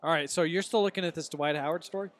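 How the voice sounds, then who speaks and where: A man with a somewhat high voice hosts a live sports stream from a studio mic. He calls the plays between rounds of teasing, argumentative banter with his co-host. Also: fast talker. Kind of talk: casual sports chat with play-by-play.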